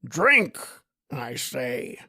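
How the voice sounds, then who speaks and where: A man's voice: medium pitch, sing-song, very slow, pushed and bright, slight background noise, full character voice. An actor playing a cruel, drunken, bellowing king, imperious and impatient, in an old-time radio horror style. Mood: impatient, fierce.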